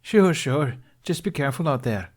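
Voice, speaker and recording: A man's voice: mid-range voice, lively intonation, moderate pace, darkish tone, voice pushed up, natural voice. A man voicing a plain-spoken, skeptical village seamstress in an audiobook, blunt and motherly as she cautions. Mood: cautioning.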